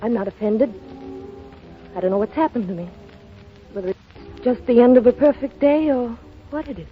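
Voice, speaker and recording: A woman's voice: a little high, normal speed, soft background music, natural voice. An actress playing a bold, thrill-seeking young upper-class woman in a 1930s radio drama, with poised, clipped phrasing. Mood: romantic, guarded. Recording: dull old 1930s radio recording.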